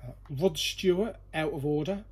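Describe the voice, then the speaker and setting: Male vlog host, slightly high voice, informal and jokey, faint noise behind him in a storeroom. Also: normal speed. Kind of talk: casual chat while going through a collection. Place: indoor storeroom full of boxes.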